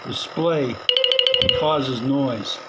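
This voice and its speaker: A middle-aged man casually narrating his radio repair in a small spare room at home, halting with fillers and soft chuckles. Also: mid-range voice, over loud music. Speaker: radio hobbyist vlogger.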